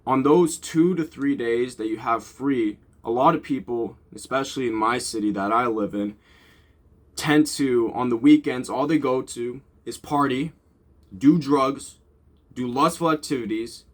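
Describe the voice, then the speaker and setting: A man's voice: medium pitch, a little slow. A young man giving casual, off-the-cuff motivational talk as a vlogger, rambling and informal with blunt swearing.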